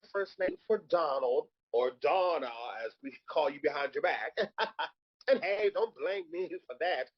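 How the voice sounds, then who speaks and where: Man playing a husky, swooping, sassy drag queen. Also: high voice, exaggerated voice. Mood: breezy, gossipy. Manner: campy, sassy, theatrical, drawn-out delivery. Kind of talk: comedic live table read. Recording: dull lo-fi audio.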